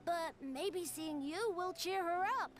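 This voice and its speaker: A woman's voice: very high voice, slow, slight background noise. A woman voicing a chatty, cheerful young boy in a game cutscene, rambling with enthusiasm.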